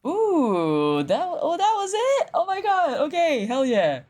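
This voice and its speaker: A woman livestreaming a game with casual, excitable commentary, often repeating her phrases. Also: sits high, takes it slowly. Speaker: streamer. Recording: music behind the voice. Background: game music.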